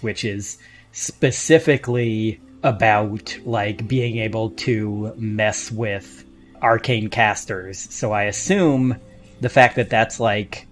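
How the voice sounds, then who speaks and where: Low-pitched man in casual chat. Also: fairly bright tone, a little hiss or hum. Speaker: podcast host and player in a tabletop game.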